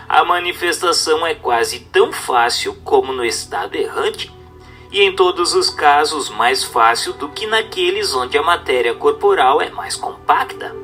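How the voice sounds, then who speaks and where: A man's voice: fairly high pitch, pushed and bright. A man with a Brazilian accent reading a book aloud as scripted narration, in a formal, didactic manner. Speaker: audiobook narrator.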